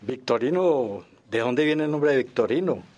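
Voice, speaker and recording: A man hosting a radio interview with formal, deliberate phrasing. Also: medium pitch, lively intonation, a little mellow.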